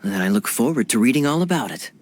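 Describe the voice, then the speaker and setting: An actor playing a suave, courteous cavalry captain in a video game cutscene. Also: male voice, mid-range voice, very animated, fast, background noise, close to an everyday voice. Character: suave charming knight captain man, smooth and teasing.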